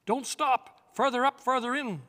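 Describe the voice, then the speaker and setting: An older man plays an eager male unicorn in a storybook reading, calling out high and loud. The cries ring out with a long reverberant tail. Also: a little slow, full character voice. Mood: urgent, rousing. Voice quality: loud ringing calls. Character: excited male unicorn crying out.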